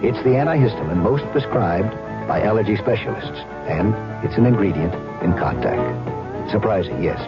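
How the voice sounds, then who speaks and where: A male announcer delivers a scripted vintage radio commercial. His voice is low, and the sound is muffled with loud music behind it.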